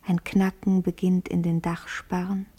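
An actress playing a dreamy countrywoman in a literary radio play monologue, murmuring in a hushed, breathy whisper. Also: on the low side, little pitch movement, measured pace.

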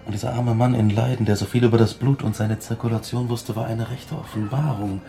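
An actor playing a tormented, guilt-ridden young scientist in a German radio drama, grave and intense. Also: male voice, medium pitch, steady tone, brisk, creaky, dark tone, faint music behind, lightly acted. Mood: earnest, driven. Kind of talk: German radio drama acting, narration and dialogue.

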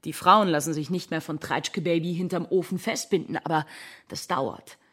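An actress playing a fiery, mocking feminist in a radio drama, speaking in emphatic, sarcastic polemic. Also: female voice, low voice, normal speed, a bit bright. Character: fiery, mocking feminist woman challenging patriarchy.

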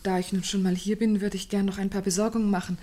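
A woman's voice: mid-range voice, level tone, fast talker, mellow, natural voice. An actress playing an anxious, well-bred young lady in a German radio play, speaking earnestly and formally with careful articulation. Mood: composed, polite.

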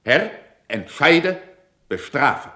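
A man's voice: a little high, big pitch swings, extremely slow, sharp tone, heavily acted. An actor playing a stern, eloquent courtier accusing a rival before his prince, in formal, declamatory verse for a classical radio play. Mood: stern indignation. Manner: declamatory, formal, weighty pronouncements.

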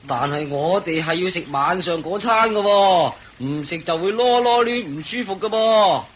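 A man's voice: high-pitched, animated, normal speed, fairly bright tone. An actor playing a curious, good-natured male traveller in a Cantonese radio drama, questioning and exclaiming in a Hong Kong accent. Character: curious, good-natured clansman traveller, eager and plain-spoken.

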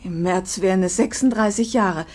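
A woman's voice: medium pitch, moderate pace, a little mellow, close to an everyday voice. An actress playing a weary, protective older mother in a 1950s German radio drama, earnest, emphatic and pleading. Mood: bitter, impassioned. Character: weary, protective older mother defending her exhausted husband.

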